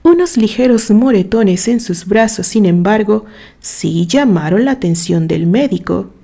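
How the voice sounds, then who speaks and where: A woman reading a literary story aloud in a dramatic, expressive way, with measured phrases and pauses. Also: mid-range voice, creaky. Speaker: narrator reading a written story.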